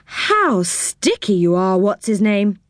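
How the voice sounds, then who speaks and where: A woman's voice: medium pitch, big pitch swings, slow, no room sound, studio quality. A woman playing a plaintive, grumbling male character from a children's storybook. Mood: feigned sleepy innocence. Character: gloomy, grumbling male storybook tree-dweller, feigning innocence.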